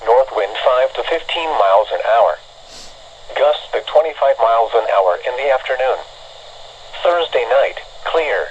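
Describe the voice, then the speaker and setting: A man's voice: medium pitch, expressive, moderate pace, dull audio. A man in a radio broadcast announcing style, heard through a small transistor radio speaker.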